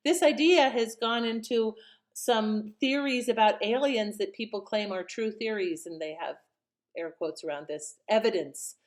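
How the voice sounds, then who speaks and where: A woman's voice: a little high, measured pace. A woman hosting informal, explanatory commentary, conversational and thinking aloud as she goes. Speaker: host commenting on an old radio play.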